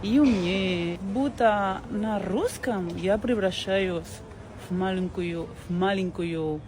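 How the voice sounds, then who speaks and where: A woman's voice: medium pitch, takes it slowly, noisy background. Spanish woman chatting in self-mocking tones. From Spain. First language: Catalan. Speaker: vlogger. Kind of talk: casual confessional vlog.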